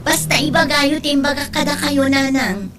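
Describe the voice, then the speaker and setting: A woman's voice: high-pitched, moderate pace, lightly acted. A woman with an Ilocano accent acting a stern, responsible aunt in an audio drama, firm and admonishing. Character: stern, responsible aunt and daughter, advising family.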